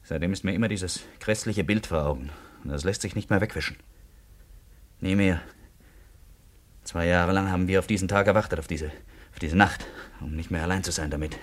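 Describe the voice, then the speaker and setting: An actor in a German radio play playing a guilt-tormented bridegroom, weary, tense and irritable, with a husky voice. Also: male voice, sits low, fairly quick, pauses often. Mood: tormented, insistent.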